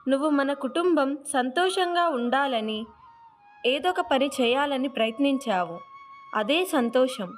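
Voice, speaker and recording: Casting: actress as caring, high-voiced village mum, soothing and encouraging, for a children's moral cartoon. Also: female voice. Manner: soothing, reassuring. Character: tender, encouraging village mother comforting her son. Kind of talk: Telugu children's cartoon dialogue.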